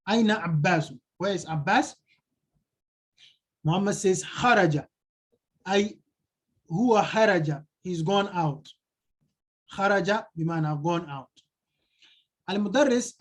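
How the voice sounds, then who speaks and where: A man's voice: high voice, breathy edge. A male teacher explaining a textbook lesson, translating it line by line and repeating key phrases. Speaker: Arabic teacher.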